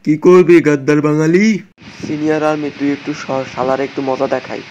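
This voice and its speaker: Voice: medium pitch, a little fast, darkish tone, dull audio, a little hiss or hum, natural voice. An actor playing a bossy, blustering male army officer in a comic cartoon, commanding and scornful. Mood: threatening.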